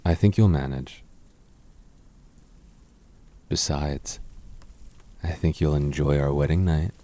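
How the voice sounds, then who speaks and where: A man playing a devoted young fantasy prince in a romantic roleplay, speaking tenderly and haltingly with gentle teasing. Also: rumbling low. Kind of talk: romantic boyfriend roleplay ASMR.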